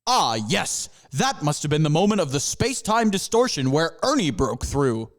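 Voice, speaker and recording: A heroic panther scientist, played by a man, who lectures his students with proud good cheer. His voice rises and falls in a lively way and sits a little high. It fits an amateur comedy audio drama. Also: normal speed. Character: cheerful male panther mascot scientist, a hero.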